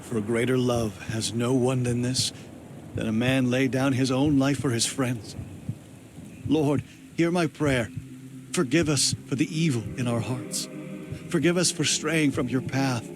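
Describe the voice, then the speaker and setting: A man's voice: medium pitch, a little slow, natural voice. Solemn, troubled man an actor over rain. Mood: solemn prayer. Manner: solemn, brooding. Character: devout, troubled male hero, prayerful and searching. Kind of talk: video game character monologue. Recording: clear rain and ambient noise behind.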